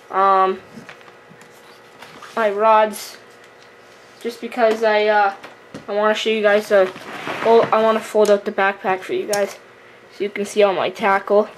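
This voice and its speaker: A young woman talks through fishing gear in a casual vlog style. Her delivery is slow and halting with very little melody. The amateur camera recording has clear background noise. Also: mid-range voice, little pitch movement. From Canada. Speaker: fishing vlogger. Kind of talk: casual product tour. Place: room at home with wooden floor. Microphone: camera microphone. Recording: noisy amateur camera recording, handling clicks.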